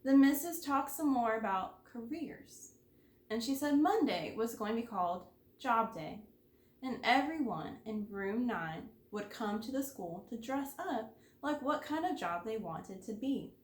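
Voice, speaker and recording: Kindergarten teacher lady reading a kids story, expressive, highish voice, echoey room. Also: a little slow. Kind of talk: expressive children's story read-aloud.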